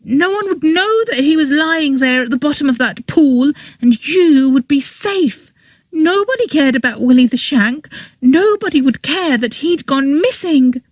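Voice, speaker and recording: A woman's voice: very high voice, sing-song, slow pace, dry recording, pitched above the performer's own voice, full character voice. A woman voicing a shrieking, furious young woman. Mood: bitter. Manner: shrieking, bitter, near tears. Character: furious tearful young woman accused of murder.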